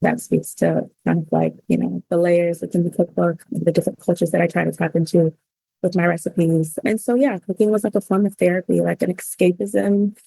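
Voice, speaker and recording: Low, creaky-voiced woman, reflective and even-toned. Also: brisk, dry recording, low-quality recording. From Cameroon, then the United States. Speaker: interview guest, cookbook author. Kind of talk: personal storytelling in a conversational interview.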